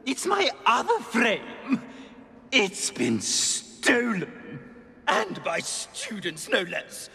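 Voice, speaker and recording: Pompous, wildly theatrical portrait wizard. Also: male voice, very high-pitched, huge pitch swings, very slow, vocal fry, pushed and bright, husky, big-room sound, full character voice. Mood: outraged. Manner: haughty, theatrical, blustering. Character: pompous indignant talking portrait of a wigged wizard informant. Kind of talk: scripted game acting.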